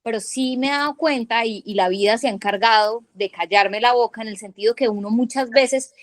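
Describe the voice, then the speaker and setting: A woman's voice: a little high, dry recording. A woman co-hosting a podcast in casual chat, talking in long chatty runs full of fillers and asides, originally from Latin America. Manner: chatty, many fillers like "o sea", quick asides. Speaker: podcast co-host.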